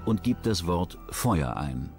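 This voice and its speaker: Ein Mann spricht als Erzähler eine Dokumentation, ruhig und sachlich vorgetragen. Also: male voice, low-pitched, sing-song. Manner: measured, sober narrating. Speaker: documentary narrator. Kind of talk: scripted documentary narration. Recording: voice-over with music underneath.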